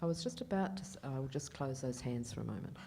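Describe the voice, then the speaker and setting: A woman's voice: very deep voice, expressive, fast talker, mellow, slight background noise. A woman voicing a Deaf man on a panel, in the first person, hesitant with many fillers. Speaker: sign language interpreter voicing a Deaf male panellist.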